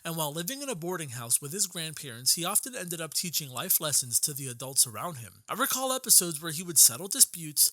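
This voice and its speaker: A man's voice: on the high side, sharp tone, dry recording. A young man reading scripted documentary narration for a video essay, recorded as a close voice-over.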